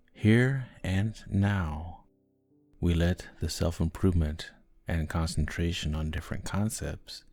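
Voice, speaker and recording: A man guiding a sleep hypnosis in a soft, calming, gentle voice with long pauses between short phrases. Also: deep voice. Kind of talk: guided sleep hypnosis, soothing narration. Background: background music.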